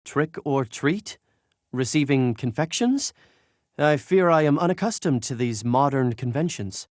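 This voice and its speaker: An old-fashioned, formal game gentleman acted by a man. His mid-range voice is reserved and measured, recorded close and dry. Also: medium pitch, animated, no room sound, natural voice. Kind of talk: video game character lines.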